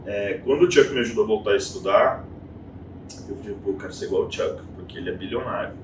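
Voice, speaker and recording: A Brazilian man in his thirties tells his story as an interview guest, acting out voices in quotes. He sits back from the mic in a reverberant room. A steady noise runs underneath. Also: on the low side, fast. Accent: Brazilian Portuguese. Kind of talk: casual interview chat. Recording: echoey room, distant mic, noisy.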